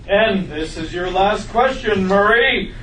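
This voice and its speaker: Guy putting on smug peppy radio host voice, drawn out, echoey room, mic far away. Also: sits high. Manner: announcer-like, drawn-out delivery. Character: peppy, smug radio station DJ running contest. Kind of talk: table read of a sitcom script. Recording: roomy, distant, faintly noisy live recording.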